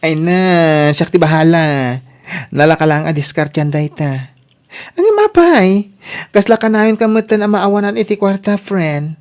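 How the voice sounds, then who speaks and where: A woman's voice: lowish voice, expressive, a little slow, darkish tone. A woman with an Ilocano accent acting a brash, casual friend in a radio drama. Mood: exasperated. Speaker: drama voice actor.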